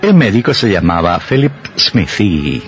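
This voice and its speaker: Male narrator for radio horror: low, expressive, deliberate, with music underneath.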